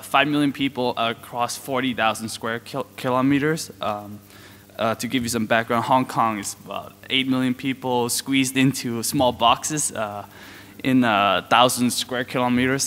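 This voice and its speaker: A man, giving a halting conference talk with many fillers and light chuckles. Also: medium pitch, fairly bright tone. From Denmark. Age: 20s. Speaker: panel speaker. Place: conference hall. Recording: reverberant large hall.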